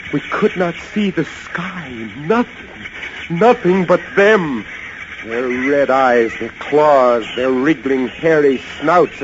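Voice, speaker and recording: A brooding lighthouse keeper narrating vintage radio horror. He speaks slowly and dramatically at a medium pitch, with haunted intensity. The old recording is muffled, with clear noise over it. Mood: feverish dread. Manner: dramatic, brooding, swinging from hushed to feverish.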